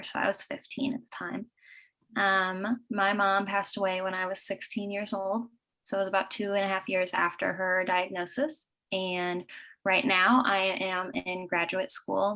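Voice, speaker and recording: A woman's voice: medium pitch, pushed and bright, poor audio. A woman in her twenties introducing herself as a panel guest in a composed way. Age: 20s.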